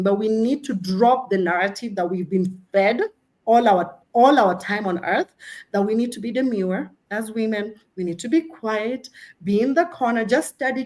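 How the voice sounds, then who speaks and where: A woman from Kenya with a Kenyan accent, a career coach speaking emphatically on a panel. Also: mid-range voice. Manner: emphatic, animated, preaching self-promotion with humor.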